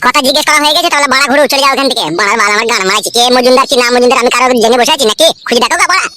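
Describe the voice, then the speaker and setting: A woman's voice: high-pitched, racing, pushed and bright. A woman playing an insolent, foul-mouthed grown son in a cartoon comedy, with a brash, complaining manner. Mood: surly.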